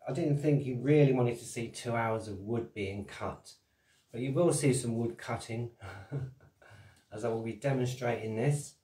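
A man's voice: mid-range voice, measured pace, dark tone. A man giving a cheerful, rambling how-to demonstration as channel host, talking at a desk in a small home workshop. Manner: cheery, rambling, laughs at own jokes. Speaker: cigar box guitar builder and channel host. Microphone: camera mic at desk distance. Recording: roomy, distant camera sound.

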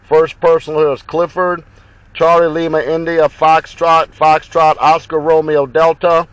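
A man from Ohio, a mobile radio operator in his car, talking in crisp radio procedure and spelling out call signs. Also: fairly high pitch, little pitch movement, a little slow, dry recording, rough recording. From Ohio, USA. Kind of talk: radio contact exchange. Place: inside a car. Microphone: handheld radio mic.

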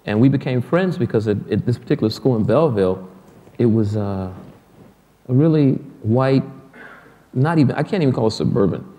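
A man's voice: mid-range voice, expressive, fairly quick, warm tone. A middle-aged man from Detroit, a guest musician telling stories in a loose, joking, profane way during an on-stage interview in front of an audience. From Detroit, USA. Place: hall with an audience. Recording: long hall reverb.

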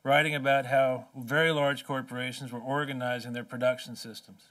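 A man in his forties delivers a keynote from a podium in a large conference hall, his voice sitting at a middle pitch. He speaks emphatically and rhetorically, stretching key phrases slowly. The sound is a bit distant, as if caught from across the hall. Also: little pitch movement, a bit bright. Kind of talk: impassioned keynote speech. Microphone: podium microphone.

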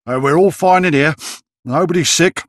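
A man's voice: a little high, normal speed, dry recording, clean studio sound, lightly acted. An actor playing a shifty, paranoid townsman in a video game, falsely chummy and scornful, with an insinuating lilt. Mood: sly, dismissive. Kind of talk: video game character acting.

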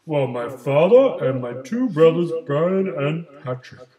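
Older chilean migrant man, voiced by a man, steady storytelling, slightly high voice, echoey room, crowd chatter behind. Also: unhurried. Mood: calm. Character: older male Chilean migrant recalling his journey. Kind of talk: school project role-play interview.

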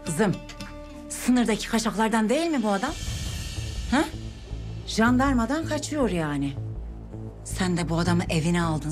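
A woman's voice: fairly low pitch, close to an everyday voice. A woman playing a bossy, brash village sister. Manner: bossy, scolding, chatty. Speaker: older sister. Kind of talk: TV drama acting. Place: indoor village house room. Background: music.

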